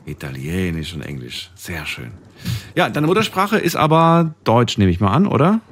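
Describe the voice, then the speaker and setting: A man's voice: fairly low pitch, sing-song, moderate pace, a bit bright. A male radio host chats with a caller and asks follow-up questions in a friendly, conversational way. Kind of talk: phone-in radio show.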